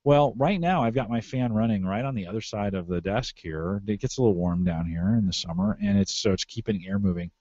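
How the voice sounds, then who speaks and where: Low, mellow American man podcasting. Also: brisk, hardly any pauses, dry recording, highs cut off. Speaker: podcast host. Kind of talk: casual tech podcast chat. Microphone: close podcast microphone through mixer.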